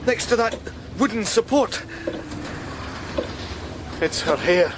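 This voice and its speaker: Haunted psychic detective, Scottish, subdued and hesitant, for radio drama. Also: male voice, high-pitched, animated, takes it slowly, noisy background. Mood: urgent, hesitant. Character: haunted, soft-spoken male psychic detective.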